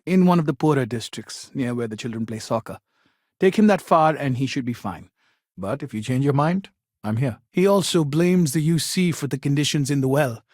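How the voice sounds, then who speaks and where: A man voicing an earnest, patient counselor in a video game, talking in a measured, reasoned and reassuring way. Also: medium pitch, darkish tone, husky, dry recording, clean studio sound. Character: earnest, patient male counselor at a secular community house.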